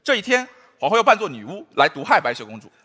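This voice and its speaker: A man in his thirties performing a stand-up comedy duo routine on a large studio stage, animated, making mouth sound effects. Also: high-pitched, fast, a little hiss or hum.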